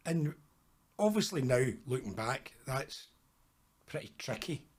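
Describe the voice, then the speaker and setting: A man's voice: medium pitch, very animated, measured pace, husky. A man hosting a podcast interview, chatty and friendly, with frequent restarts. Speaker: podcast host. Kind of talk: casual interview.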